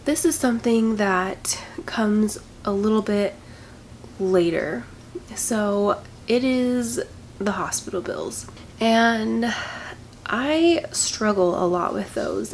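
A young woman with a nasal, congested voice talks to camera in a personal vlog, speaking quietly and haltingly in a heavy, grieving way. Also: medium pitch, slight background noise. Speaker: vlogger. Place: indoors, small room with patterned backdrop. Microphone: camera in front, head-and-shoulders framing.

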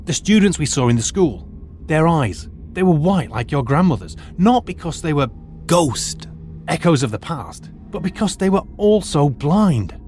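A man's voice: fairly high pitch, big pitch swings, normal speed, a little mellow, faint music behind. A man playing a middle-aged retired archaeologist turned teacher who lays things out in a precise, lecturing way. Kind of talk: audio drama acting.

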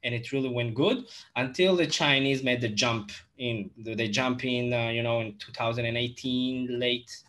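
Halting young man on a call. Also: mid-range voice, a bit bright. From Moldova. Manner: halting, many fillers and restarts. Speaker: guest, e-commerce entrepreneur. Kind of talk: casual video-call interview. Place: home office.

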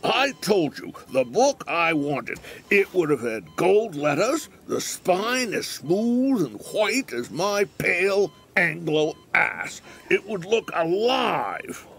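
An actor playing a sardonic, griping old man in an audio drama, with a gravelly voice and a salty, teasing manner. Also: high voice, very animated, slow pace, hardly any pauses, fairly bright tone, heavily acted. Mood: irritable, griping. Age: older. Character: cantankerous, sardonic old male bookseller in hospital.

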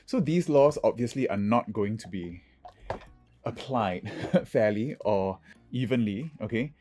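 A man with a husky voice, a drag queen giving chatty, casual vlog commentary and often checking in with "okay". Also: lowish voice, lively intonation, unhurried, dark tone, soft background music. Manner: chatty, punctuates points with "okay".

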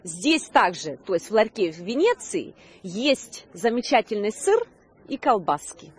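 A woman's voice: mid-range voice, very animated, a little slow. A woman in her thirties presenting a news report on location outdoors into a handheld microphone, with slightly hesitant delivery. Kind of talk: on-location reporting, semi-scripted presenting to camera. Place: outdoor Christmas market.

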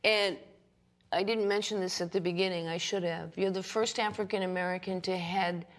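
A woman's voice: fairly low pitch, steady tone, moderate pace. A woman in her sixties from Boston, hosting a TV interview and asking emphatic, personal questions. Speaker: TV talk show host. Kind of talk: studio interview. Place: small TV studio.

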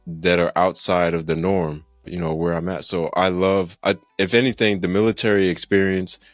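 Deep-voiced man chatting on a podcast. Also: level tone, normal speed, dry recording, clean studio sound, faint music behind. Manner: rambling, laughs often, restarts sentences. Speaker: podcast guest. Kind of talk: casual interview chat. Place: home room, indoors. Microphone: studio mic with headphones, video call.

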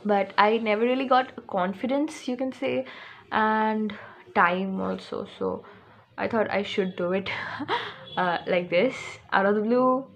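A teenage girl from India talks straight into a phone camera held close, in a small room at home. Her Indian English is loose and chatty, full of fillers and self-corrections as she wanders from thought to thought. Her voice sits at an ordinary middle pitch. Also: a little slow, a little hiss or hum. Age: teens. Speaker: vlogger. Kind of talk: casual first vlog chat to camera. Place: small room at home, indoors, books behind.

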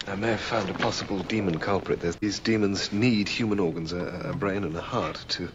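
Muffled, low-voiced hesitant bookish man, acted. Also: natural voice. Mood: hesitant, pondering. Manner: halting, stammering, fussy. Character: hesitant, bookish man, awkward demon researcher. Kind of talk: television drama acting. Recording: muffled TV playback, faint noise.

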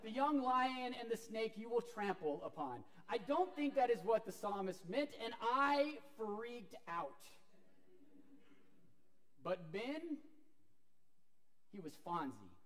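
A middle-aged man preaching a sermon as a pastor, telling an animated story while moving about a church sanctuary. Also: sits high, takes it slowly, long pauses. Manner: animated storytelling with wide gestures, walking about.

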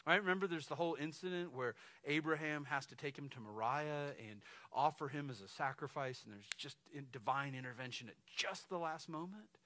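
A man in his forties, a lead pastor, preaching an animated, conversational sermon on stage, often checking in with "right?". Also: fairly high pitch, brisk, few pauses. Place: stage of a large dark church hall, indoors.

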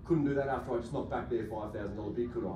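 A man's voice: mid-range voice, fairly even intonation, very fast, dull audio. An Australian man working as an auctioneer, calling a live property auction in polished, projected sales patter, recorded across a large function room. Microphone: far camera across the room. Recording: echoey room, distant mic, faint noise.

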